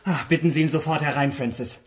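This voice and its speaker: An actor in a German radio drama playing an elegant young English gentleman, polite and commanding. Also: on the high side, fairly even intonation, fast, background noise, close to an everyday voice. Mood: relieved, courteous.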